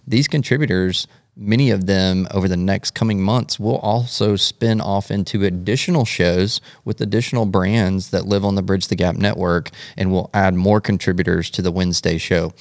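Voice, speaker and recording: Gravelly low-voiced man hosting a podcast. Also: steady tone, normal speed, few pauses, no room sound, clean studio sound. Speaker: podcast co-host.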